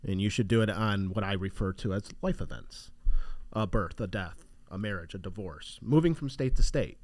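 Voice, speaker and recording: A man co-hosting a finance podcast, raspy from allergies, explaining estate planning in a loose, conversational way. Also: on the low side, moderate pace, a little mellow. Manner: loose, conversational, restarts often. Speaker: financial advisor co-host. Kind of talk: casual podcast chat.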